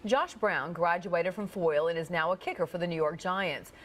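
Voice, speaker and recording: A woman anchoring a local evening newscast, delivering updates and breaking news in a steady, measured way. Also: fairly low pitch. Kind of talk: live local newscast reading.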